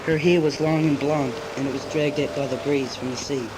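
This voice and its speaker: A man's voice: a little high, steady tone, fairly quick, natural voice. A teenage boy playing a weary, solitary man who tells his story in scripted first-person narration on a noisy amateur cassette recording. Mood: dreamy, reflective. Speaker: narrator and lead in a school drama.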